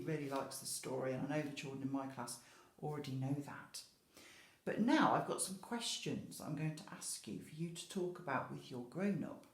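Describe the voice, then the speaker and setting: A woman's voice: deep voice, darkish tone, echoey room. A woman speaking as an infant school teacher, explaining simply and expressively to young children. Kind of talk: children's story reading and questions.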